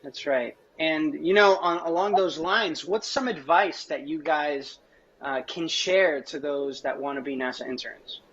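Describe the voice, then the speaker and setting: A man's voice: a little high, measured pace, fairly bright tone. Male host, casual and upbeat, dull lo-fi call sound. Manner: upbeat, conversational, casual fillers. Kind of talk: hosted panel interview. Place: home room with plants. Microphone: video call.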